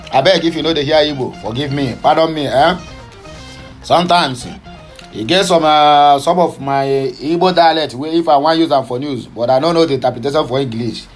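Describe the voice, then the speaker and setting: A man's voice: fairly high pitch, moderate pace, soft background music. A man from southeastern Nigeria with a Nigerian Pidgin accent, giving passionate, opinionated news commentary. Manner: passionate, switching between Pidgin, English and Igbo.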